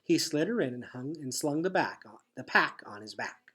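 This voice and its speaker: A man reads a storybook aloud in an expressive, teacherly way. His voice is husky and sits at a middle pitch, swinging up and down as he stresses phrases. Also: moderate pace. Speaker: teacher reading a chapter aloud. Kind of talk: read-aloud storybook narration.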